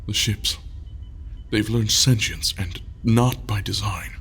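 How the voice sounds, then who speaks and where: A man playing a brooding, guarded, troubled leader in an audio drama, speaking gravely and defensively. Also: lowish voice, slow pace, a bit bright, heavily acted. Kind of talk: full-cast audio drama acting. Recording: dramatic music under the voice.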